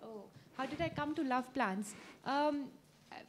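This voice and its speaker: A woman's voice: sits high, measured pace, slight background noise. A woman in her thirties with an Indian accent, answering questions in an instructive way as a gardening expert at a live talk. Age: 30s. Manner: explanatory, instructive. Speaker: gardening expert and guest speaker.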